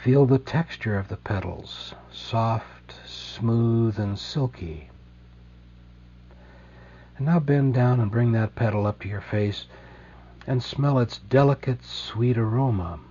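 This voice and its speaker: Male meditation guide, dark relaxed tone, gentle and unhurried with deliberate pauses; slightly dull recording with some background hum. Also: medium pitch, slightly rough. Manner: slow, soothing, gentle delivery with deliberate pauses.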